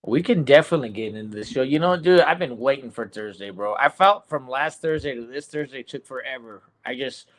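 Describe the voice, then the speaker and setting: A man's voice: medium pitch, expressive, a little fast, hardly any pauses, a bit bright. A man in his forties hosting a casual sports podcast over a video call, rambling and stuffed with ums and uhs. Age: 40s. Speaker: podcast host.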